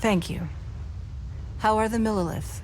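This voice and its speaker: A woman's voice: medium pitch, fairly even intonation, a little slow, darkish tone, soft background music, natural voice, low-quality recording. A woman playing a poised, commanding noble city leader in a video game, speaking with composed, elegant authority. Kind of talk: video game cutscene acting.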